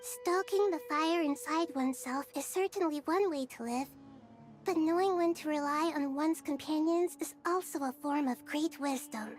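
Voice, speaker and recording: A gentle child goddess from a video game, her voice high and girlish. She speaks with earnest, measured politeness and thoughtful wisdom, over soft music. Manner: measured, articulate, formally polite. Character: gentle, wise young girl deity, earnest and thoughtful. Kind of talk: video game story acting. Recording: music behind the dialogue.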